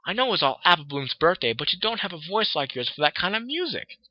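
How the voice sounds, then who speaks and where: A man's voice: high voice, sing-song, fast, no echo, muffled recording, voice pushed up. A man voicing a prim, posh female musician pony in a lilting, mock-refined tone as he reads her letter.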